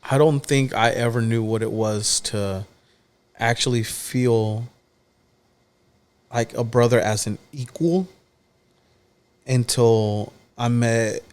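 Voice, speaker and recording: A man's voice: medium pitch, slow pace, long pauses, a little mellow, smooth. Emphatic man in his thirties, podcast guest. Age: 30s. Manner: emphatic, direct, repeats key phrases. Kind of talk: casual heartfelt chat.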